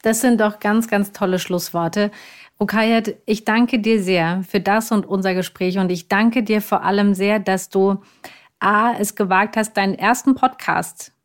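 A woman's voice: medium pitch, steady tone, a little slow. A woman hosting a podcast in a conversational interview style. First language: German. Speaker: podcast host.

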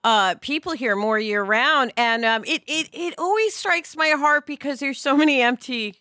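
Bright, high-voiced middle-aged American woman podcaster. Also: moderate pace, no room sound, clean studio sound. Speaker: podcast host. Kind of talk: podcast discussion.

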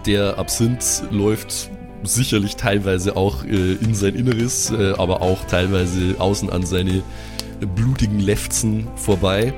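A low-pitched man leads a tabletop role-playing session. He narrates scenes in a measured, descriptive way, improvising as he goes, over clearly audible music. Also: fairly even intonation. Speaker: game master.

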